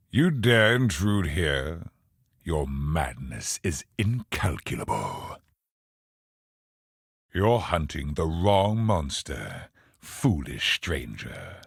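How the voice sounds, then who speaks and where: An actor playing an ancient, imperious male cosmic villain in a video game, older and husky, with portentous, theatrical delivery. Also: a bass voice, dry recording, clean studio sound, heavily acted. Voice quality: gravelly, husky. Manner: slow, portentous, theatrical pronouncements.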